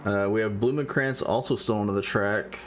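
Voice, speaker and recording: A man's voice: fairly low pitch, steady tone, slight background noise. Laid-back man commentating sim races. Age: 30s. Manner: laid-back banter, quickens when calling lap times. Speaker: race commentator. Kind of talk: casual live race commentary.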